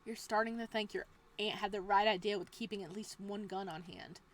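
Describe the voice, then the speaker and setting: A woman reading a visual novel aloud as a let's play host, moving between dramatic narration and casual, expressive reactions. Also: mid-range voice. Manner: expressive, exclamatory asides with laughter between measured reading.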